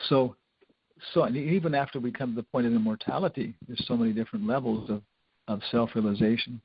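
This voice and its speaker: Older man, gravelly informal spiritual lecturer. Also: medium pitch, fairly even intonation, fast, dry recording. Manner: rambling, conversational, with wry humour. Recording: dull lo-fi lecture recording.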